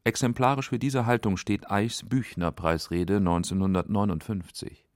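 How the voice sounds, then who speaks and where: A man's voice: fairly low pitch, fairly even intonation, normal speed, no echo, clean studio sound. A man reading scripted narration for a documentary.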